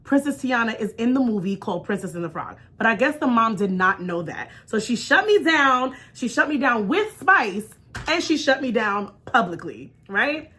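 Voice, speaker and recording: A woman with a high, bright voice hosts her own talk show. She tells personal stories in an informal, chatty way, expressively acting out dialogue and checking in with listeners as she goes. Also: very animated, normal speed. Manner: punctuates points with check-in questions like "right?". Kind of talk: animated personal storytelling to an online audience.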